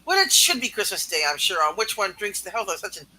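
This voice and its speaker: A woman's voice: medium pitch, animated, quick pace, pushed and bright, breathy edge, poor audio. A woman playing a fussing, fiercely protective working-class mother, theatrical and exclaiming, in a radio play reading. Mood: indignant.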